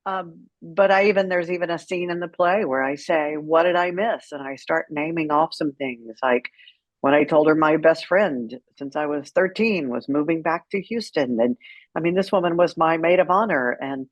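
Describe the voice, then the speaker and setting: A woman's voice: low-pitched, normal speed, no echo, muffled recording. A middle-aged American woman telling stories as an interview guest in a candid, wry, conversational way. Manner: candid, wry, animated retelling with quoted dialogue. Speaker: interview guest, caregiver advocate. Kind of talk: conversational storytelling.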